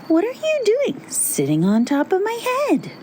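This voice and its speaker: Casting: small male storybook pumpkin, played by a woman. High-pitched, eager, wistful, very animated. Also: unhurried, a little hiss or hum, exaggerated voice. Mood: curious. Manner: exclaiming, pleading, hesitant.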